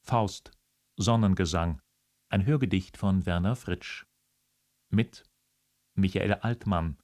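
A man announcing radio drama credits in a measured, sober manner. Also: low-pitched, a little mellow. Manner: measured, sober list reading.

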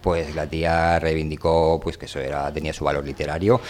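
A man's voice: very deep voice, level tone, brisk, darkish tone, slight background noise. A man with an accent from Spain hosting a radio show live, talking informally in a colloquial, rambling way. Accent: Spanish from Spain.

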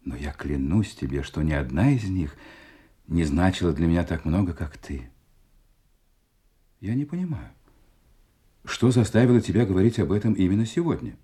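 An actor playing a suave, secretive husband with a volatile edge in an audio play, speaking in theatrical, silky tones. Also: male voice, low voice, expressive. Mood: brooding. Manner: theatrical, silky endearments, drawn-out hesitations, sudden sharp outbursts. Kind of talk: Russian audio play acting.

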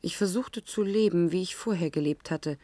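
An actress playing an anguished, isolated young woman who narrates her ordeal in a German science-fiction radio drama, deliberate and dramatic. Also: on the low side, dark tone, no room sound, close to an everyday voice. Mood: bleak, resigned.

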